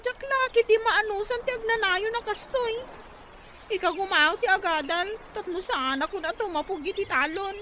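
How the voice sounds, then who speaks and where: A woman's voice: very high-pitched, moderate pace, bright, forceful tone, a little hiss or hum. A woman playing a whiny, lazy college-age daughter in an Ilocano radio drama. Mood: whining, complaining.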